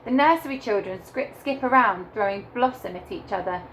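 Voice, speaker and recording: A woman teacher reading a picture book aloud to young children in a deliberate, animated storytelling way. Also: medium pitch, a little hiss or hum, poor audio. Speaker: primary school teacher reading to her class.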